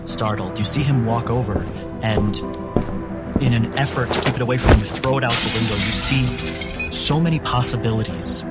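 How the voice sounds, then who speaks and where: A man acting as game master, narrating events to the players in measured second person. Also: medium pitch, loud music behind the voice.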